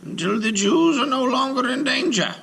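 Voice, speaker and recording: A man voicing an elderly male rabbi, solemn and commanding, his lines raised high and urgent, at times whispered. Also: animated, normal speed, creaky, sharp tone, husky, exaggerated voice.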